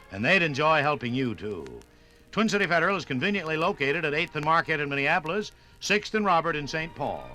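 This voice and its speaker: Bright, lively male radio announcer. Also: on the high side. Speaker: announcer reading sponsor message. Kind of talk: conversational 1950s radio commercial. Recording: 1950s radio recording.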